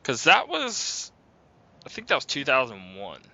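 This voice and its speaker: A man's voice: medium pitch, huge pitch swings, measured pace, pushed and bright. A man in his twenties chatting loosely as a podcast host, rambling and full of fillers. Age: 20s. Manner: loose, rambling, says "like" a lot. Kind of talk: casual chat. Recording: dull lo-fi podcast mic.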